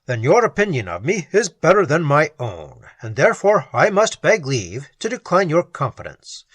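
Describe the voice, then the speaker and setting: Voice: medium pitch, sing-song, moderate pace, fairly bright tone, husky, no echo. A reader voicing a sensible, wry young cavalier in an audiobook.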